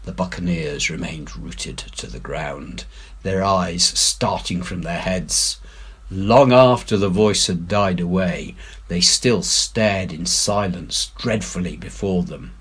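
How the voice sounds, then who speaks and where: A man giving a dramatic, measured, expressive reading of an adventure novel. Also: deep voice, breathy edge. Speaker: story reader, head teacher.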